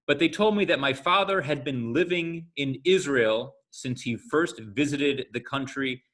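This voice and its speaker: A man in his forties from the United States giving a candid talk to an audience, speaking conversationally with frequent pauses. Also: medium pitch, fairly bright tone. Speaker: guest speaker.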